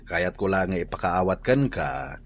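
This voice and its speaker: A man's voice: deep voice, expressive, normal speed, a little hiss or hum. An actor playing a reproving, no-nonsense husband and father in a radio drama.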